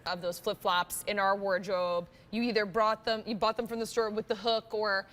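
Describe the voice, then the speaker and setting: Female brand rep, mid-range voice, chatty and emphatic conversational sales pitch. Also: little pitch movement, moderate pace, a bit bright. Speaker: footwear brand representative.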